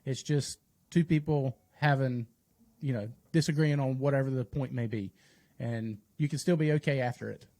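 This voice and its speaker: A man from the United States in a casual podcast chat, thoughtful and halting, often repeating his phrases. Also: mid-range voice, mellow, no echo. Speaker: podcast host appearing as guest.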